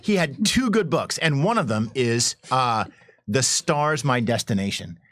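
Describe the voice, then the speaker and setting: A man tells anecdotes in loose, animated panel chat, wandering from one point to the next. His voice sits at an average male pitch and is recorded dry. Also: unhurried, dry recording.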